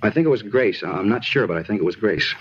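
An aggrieved, disturbed American suspect in an old radio crime play. He rambles insistently and repeats himself in a husky, hurried voice, on a dull vintage recording. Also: on the low side, lively intonation. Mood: earnest, matter-of-fact. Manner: rambling, repetitive, insistent, plain-spoken. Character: talkative murder suspect, earnest, aggrieved, disturbed man. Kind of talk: 1950s radio drama acting.

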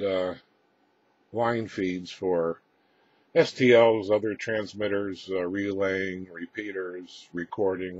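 Deep, husky man explaining equipment. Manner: halting, matter-of-fact explaining. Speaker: presenter demonstrating his radio equipment. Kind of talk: unscripted technical walkthrough. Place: indoor room with equipment rack. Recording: roomy, slightly muffled home-video sound.

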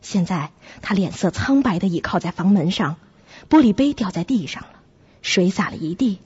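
A woman playing a sensible, caring young flatmate who narrates and acts in a radio drama, with a husky, breathy voice. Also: mid-range voice, normal speed, no echo, dull audio. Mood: uneasy narration.

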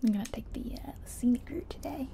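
A soft-spoken woman doing an ASMR roleplay as a friendly, chatty taxi driver, in a gentle, whispery delivery. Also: fairly high pitch, expressive, fast. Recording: faint background noise of game car sounds. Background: car sounds from a driving game.

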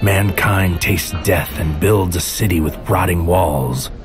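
Casting: brooding sci-fi noir narrator, low-pitched, clipped poetic delivery, over prominent music. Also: male voice. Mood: brooding, intense. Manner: poetic, clipped, deliberate phrasing. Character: brooding male mind infiltrator narrating a surreal noir mission. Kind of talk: scripted noir audio drama narration.

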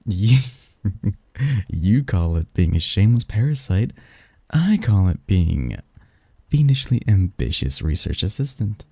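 A man's voice: fairly low pitch, gravelly, dry recording, heavily acted. A man acting a snarky, cynical young research assistant in a scripted ASMR roleplay, with a wry, pedantic manner. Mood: teasing, smug. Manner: wry, pedantic, halting mumbles and soft chuckles.

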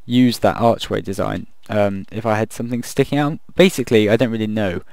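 A man casually commenting while he builds in a video game, thinking aloud and rambling, his voice croaky from the late hour. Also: fairly low pitch, brisk, darkish tone, dry recording. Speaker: gaming video creator.

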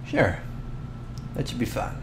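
A young man playing a flustered, tough-talking bully with a soft crush in an ASMR boyfriend roleplay, stammering and halting bashfully. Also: lightly acted. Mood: tender, sincere. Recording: roomy echo, noisy ambience behind.

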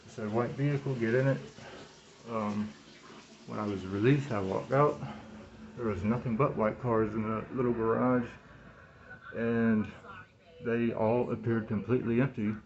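A man in his thirties in a rambling, halting monologue, repeating words and phrases, recorded on a phone indoors through a cloth mask. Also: mid-range voice, fairly even intonation, lo-fi sound. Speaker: vlogger talking to camera. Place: small room indoors. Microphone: phone at arm's length, speaking through cloth mask.

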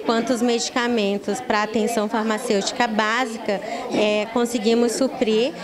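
A woman's voice: a little high, level tone, normal speed, crowd noise. Brazilian woman explaining, busy room behind. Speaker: municipal pharmacy staff spokesperson. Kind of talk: interview answer. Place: small busy pharmacy office, indoors. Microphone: handheld reporter's microphone.

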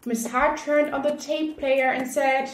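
A woman reading a children's storybook aloud as narrator, in a deliberate, careful and expressive way. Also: high-pitched, reverberant, a little hiss or hum. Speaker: storybook reader.

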